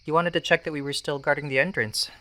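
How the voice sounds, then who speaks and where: A man's voice: on the high side, fairly even intonation, quick pace, bright, forceful tone. A man voicing a curious, upbeat young apprentice cat in a fan audiobook, eager and inquisitive. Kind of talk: fandub audiobook voice acting.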